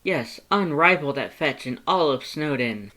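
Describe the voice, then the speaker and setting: A man's voice: a little high, animated, moderate pace, sharp tone, voice pushed up. A man voicing a proud, earnest cartoon skeleton in a story, with a bombastic, formal and emphatic way of talking.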